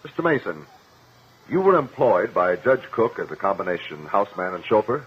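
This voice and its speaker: An actor playing a firm, formal lawyer, probing and commanding, in a 1950s radio courtroom drama. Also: male voice, on the low side, big pitch swings, moderate pace. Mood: pressing. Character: firm, probing lawyer cross-examining a witness. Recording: muffled 1950 radio broadcast.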